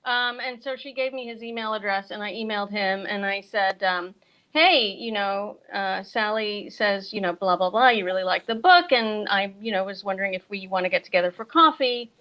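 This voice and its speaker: A woman's voice: mid-range voice, normal speed, bright, forceful tone, low-quality recording. A middle-aged American woman chatting casually as a guest bookseller and author, telling things in a chatty way with casual asides. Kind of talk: casual chat on a virtual event.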